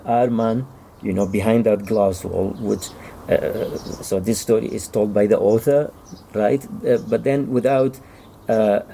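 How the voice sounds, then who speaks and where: A male author gives a thoughtful interview in a soft-edged, mellow voice with plenty of gravel in it. He feels his way through each explanation, doubling back and repeating words. There is faint noise behind him. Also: fairly low pitch. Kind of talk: thoughtful literary interview talk.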